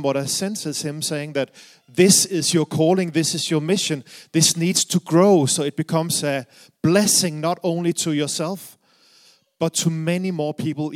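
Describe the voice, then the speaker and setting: Middle-aged Danish man, earnest preacher, slightly raised voice, halting delivery. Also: a little high. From Denmark. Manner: earnest, halting with fillers and restarts. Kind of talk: sermon.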